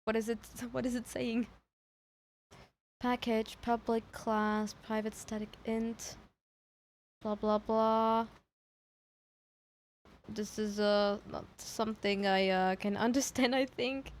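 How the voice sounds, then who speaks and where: A woman's voice: a little high, flat delivery, takes it slowly, long pauses. A woman chatting casually on a livestream, hesitant and thinking aloud as she repeats herself. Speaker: streamer reacting to horror videos.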